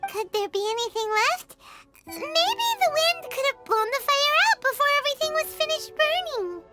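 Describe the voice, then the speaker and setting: A woman voicing a tiny, childlike fairy companion in a video game, chirpy, curious and squeaky. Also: very animated, moderate pace, over music. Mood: curious, hopeful. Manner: childlike, squeaky, refers to herself in third person.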